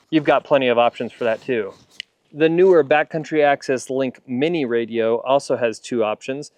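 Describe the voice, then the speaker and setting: An American man, roughly in his thirties or forties, reviewing gear in a plain-spoken, conversational way as a video host. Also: mid-range voice. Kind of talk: casual product review to camera. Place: backyard, outdoors.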